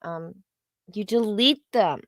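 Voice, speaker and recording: A woman with a mid-pitched voice co-hosts a casual livestream. She comments bluntly and sarcastically and swears freely, recorded close and dry. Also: slow, no echo. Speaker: co-host of a livestream.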